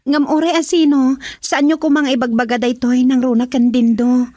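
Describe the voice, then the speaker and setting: A woman's voice: sits high, little pitch movement, normal speed, mellow, dry recording, studio quality. A woman acting a heartbroken young daughter in an Ilocano radio drama, with an Ilocano accent. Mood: sad, resigned.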